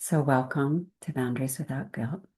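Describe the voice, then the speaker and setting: Woman, meditation guide, low pitch, soft mellow tone, gentle measured delivery, long pauses. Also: rough recording.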